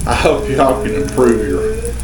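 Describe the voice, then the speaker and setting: A man's voice: medium pitch, unhurried. A middle-aged man telling a story casually, in a rambling, self-deprecating way. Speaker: co-host telling stories. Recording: distant, echoey, noisy kitchen pickup.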